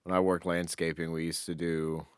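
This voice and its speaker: A man's voice: low-pitched, little pitch movement, moderate pace, smooth, no room sound, studio quality. A man co-hosting a podcast, telling anecdotes in an informal, conversational way. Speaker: podcast co-host. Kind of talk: casual chat, anecdotal storytelling.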